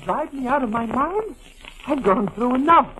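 Stammering, distraught fugitive doctor. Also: male voice, very high voice, expressive, sharp tone, slight background noise, full character voice, low-quality recording. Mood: panicked. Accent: American. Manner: stammering, halting, repeating words. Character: distraught, guilt-ridden doctor on the run, pleading and frantic. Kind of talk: 1940s radio mystery acting. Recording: old 1940s radio broadcast.

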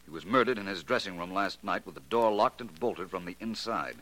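An actor playing a cool, confident gentleman detective in a 1940s radio mystery, speaking in a measured, matter-of-fact way. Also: low-pitched, rough recording. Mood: cool, probing. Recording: vintage 1940s radio recording.

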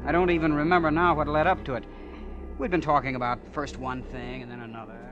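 An actor playing a naive, eager small-town schoolboy in a 1940s radio drama, chatty and hesitant, on a muffled old broadcast. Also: male voice, fairly high pitch, fairly even intonation, fast, music in the background, lightly acted. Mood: reminiscing.

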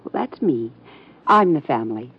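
An actress playing a dry, sardonic young niece in an old radio drama, on a muffled old recording. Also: female voice, rumbling low, big pitch swings, normal speed, husky, exaggerated voice.

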